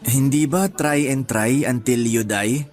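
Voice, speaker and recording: A man playing a bashful young boyfriend, medium-pitched. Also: measured pace, a little mellow, soft background music, lightly acted. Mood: lightening up. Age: young adult. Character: discouraged, bashful young boyfriend hunting for work. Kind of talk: Tagalog radio drama dialogue.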